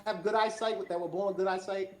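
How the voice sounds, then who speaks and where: A firefighter from Massachusetts joins a radio chat, animated and quick to laugh. His voice comes through an echoey space with clear crowd chatter behind him, at a mid pitch. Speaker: firefighter guest. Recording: echoey room with crowd noise. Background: crowd noise.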